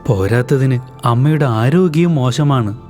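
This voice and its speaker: A man voicing a hardworking young village laborer who talks in an earnest, pleading way, for an animated storybook. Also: medium pitch, normal speed, soft background music, close to an everyday voice.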